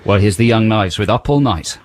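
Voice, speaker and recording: A man's voice: on the low side, lively intonation, a little fast, dry recording. A man co-hosting a radio show in playful, teasing casual chat with dry asides. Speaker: radio co-host. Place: radio studio.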